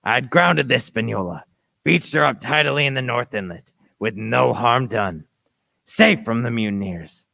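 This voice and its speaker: A man's voice: mid-range voice, normal speed, gravelly, pushed and bright, full character voice. A man narrating an adventure radio play in scripted, first-person storytelling.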